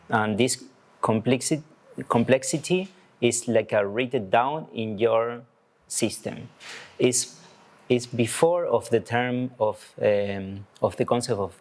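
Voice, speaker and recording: A man from Argentina, an artist with a biology background, explaining concepts in a talk, halting and searching for words. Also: medium pitch. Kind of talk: presenting a talk to an audience.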